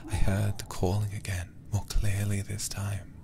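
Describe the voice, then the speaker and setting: A man voicing a twitchy, eerie child devoted to grandpa, in a hushed, halting horror-game narration. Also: on the low side, little pitch movement, creaky, noisy background. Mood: uneasy, eerie.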